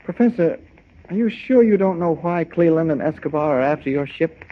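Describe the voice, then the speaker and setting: An actor playing an eager, quick-witted newspaper reporter hero in 1940s radio drama style. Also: male voice, fairly high pitch, animated, lightly acted. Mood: probing. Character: eager, resourceful male newspaper reporter, mild-mannered hero. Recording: muffled 1940 radio recording.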